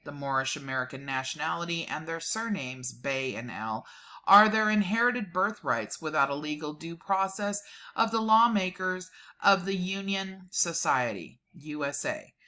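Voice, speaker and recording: A woman hosting a dramatic reading of a document, reading deliberately and breaking off for informal explanatory asides. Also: low voice, animated, pushed and bright. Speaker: host reading a document aloud.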